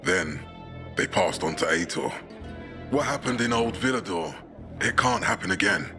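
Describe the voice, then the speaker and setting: Man, low voice, actor as a resolute commander, commanding, game score. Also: lively intonation, moderate pace, over music, lightly acted. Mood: grave. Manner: commanding, grave. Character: male commander, stern and resolute. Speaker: military commander. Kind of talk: video game cutscene acting.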